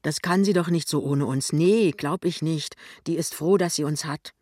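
A woman's voice: sits low, normal speed, darkish tone, dry recording, studio quality. A woman voicing a young husband and son in an audiobook, blunt, proud and impatient. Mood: confident.

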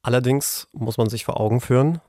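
A man's voice: medium pitch, fairly quick, warm tone, no room sound, studio quality. A man explaining a criminal case conversationally as a podcast expert. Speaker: true-crime podcast expert.

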